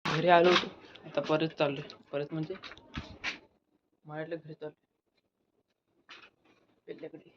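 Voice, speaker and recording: A young man with a rural western Maharashtra accent and a fairly high, even voice. He rambles casually to his vlog viewers, often correcting himself. The sound is dull, with clear background noise. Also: normal speed, long pauses. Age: young adult. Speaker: vlogger. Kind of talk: casual daily vlog chat.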